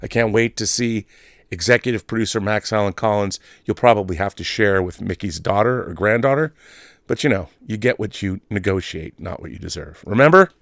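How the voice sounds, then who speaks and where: A man's voice: fairly low pitch, a little fast, vocal fry, a bit bright, dry recording, clean studio sound. A man in his fifties hosting a film fan show with casual, emphatic commentary, often digressing and repeating phrases for stress. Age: 50s. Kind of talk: casual live commentary and reading viewer mail.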